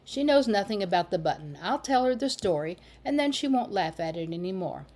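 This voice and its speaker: A woman's voice: medium pitch, big pitch swings, brisk, a little mellow. A grumbling old gatekeeper, voiced by a woman reading aloud, blustering and scolding at children. Underneath the bluster he is a kindly old man out of a storybook. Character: gruff old village gatekeeper, soft-hearted.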